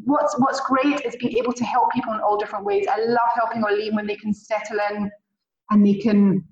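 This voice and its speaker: A woman's voice: a little high, little pitch movement, brisk. A woman with a Scottish accent, telling stories with enthusiasm as a podcast guest. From Scotland. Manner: chatty, enthusiastic storytelling. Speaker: guest, relocation concierge. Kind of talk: podcast interview. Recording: roomy, distant, muffled.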